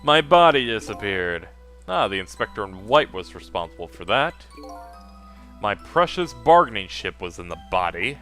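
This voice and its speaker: A man's voice: fairly low pitch, sing-song, normal speed, a bit bright. A man voicing a bitter, resigned ghost schemer in a dramatic read-aloud of game dialogue over music. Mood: frustrated. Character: bitter, resigned male ghost schemer confessing his plot.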